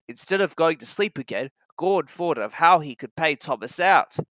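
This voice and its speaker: A narrator reading a children's story aloud in an expressive, scripted style. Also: medium pitch, normal speed, no echo.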